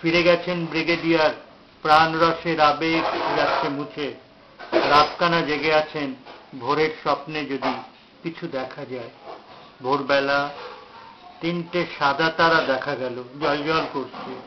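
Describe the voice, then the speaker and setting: A man with a slightly high voice recites his poetry very slowly. His phrasing is measured and declamatory, broken by pauses. The recording is dull and lo-fi, with faint noise behind him. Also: fairly even intonation. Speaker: poet reciting own poem.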